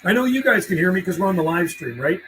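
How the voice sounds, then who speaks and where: A man's voice: sits high, lively intonation, quick pace, a bit bright, echoey room. A man chatting casually and slangily on a livestream as a radio operator, mixing in call signs and phonetic codes. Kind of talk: casual livestream chat mixed with radio calling. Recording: clear background noise.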